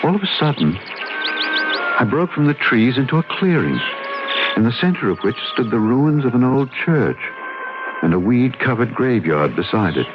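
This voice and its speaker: A man's voice: medium pitch, very animated, moderate pace, lightly acted. An actor playing a troubled, melancholy man in an old radio drama, telling his story in brooding, literary phrases. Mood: brooding, reflective. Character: troubled, melancholy man recovering from nervous breakdown. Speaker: actor narrating and playing the lead. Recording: muffled old radio broadcast with music.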